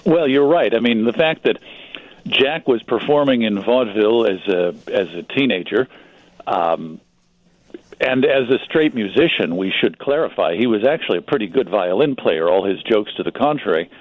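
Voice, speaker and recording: An American man giving conversational expert commentary as a radio guest, thoughtful and halting, often repeating words as he goes. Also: lowish voice, expressive, no echo. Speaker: radio guest, magazine publisher. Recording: dull band-limited radio line.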